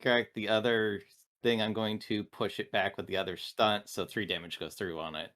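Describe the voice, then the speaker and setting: A man's voice: on the low side, little pitch movement, normal speed, fairly bright tone. A man talking casually as a player in a tabletop game, assertively explaining and correcting the rules.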